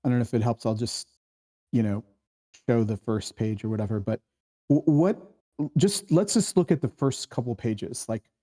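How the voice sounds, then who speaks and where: A man hosting a casual screenwriting discussion, explaining in a halting way and often checking for agreement. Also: medium pitch, warm tone. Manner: halting, explanatory, keeps seeking agreement with "right?". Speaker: host of a screenwriting table read.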